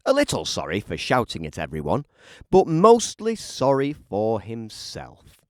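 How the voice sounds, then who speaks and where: A man narrating a children's picture book as an animated bedtime read-aloud. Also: medium pitch, unhurried, no echo, clean studio sound. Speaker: storybook narrator.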